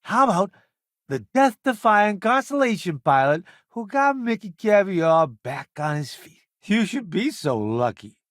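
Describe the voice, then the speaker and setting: An actor playing a wry, self-promoting food columnist turned space crewmate in a video game, breezy and full of sardonic wisecracks. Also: male voice, high voice, very animated, measured pace, fairly bright tone, dry recording, clean studio sound, exaggerated voice. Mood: boastful.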